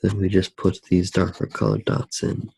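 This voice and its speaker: A soft-spoken man narrating his drawing in an ASMR style, unhurried and halting, with long pauses. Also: low voice, big pitch swings, vocal fry, a little hiss or hum. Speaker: ASMR artist demonstrating a drawing.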